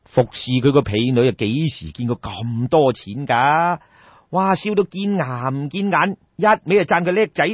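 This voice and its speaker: Cantonese guy doing old-style storytelling, raspy voice, dull echoey recording, talks right to listeners. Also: medium pitch, moderate pace. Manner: colloquial, theatrical, addresses listeners directly. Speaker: storyteller, audiobook narrator. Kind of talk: traditional Cantonese oral storytelling, dramatic narration.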